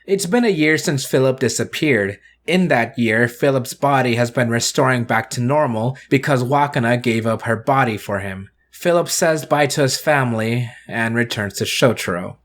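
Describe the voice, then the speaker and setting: Guy doing a casual scripted recap review for his video, normal mid pitch. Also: moderate pace, few pauses.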